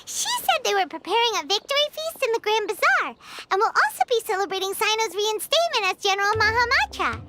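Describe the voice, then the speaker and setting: A woman voicing a small, excitable fairy-like girl companion in an anime game, with childlike, exclamatory delivery. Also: very high-pitched, quick pace, few pauses, background noise. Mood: chatty. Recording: music bed behind voice.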